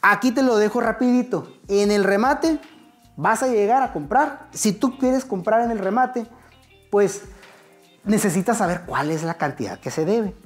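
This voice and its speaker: Mexican man explaining at a whiteboard. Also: high-pitched. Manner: conversational, addresses viewer directly, explains step by step; standing and gesturing at a whiteboard. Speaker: real estate educator. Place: indoor studio set.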